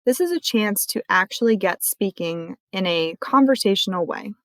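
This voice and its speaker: A woman's voice: mid-range voice, animated, moderate pace, no room sound. Dry-voiced woman librarian explaining. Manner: friendly, clear explainer. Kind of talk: screen-recorded tutorial walkthrough.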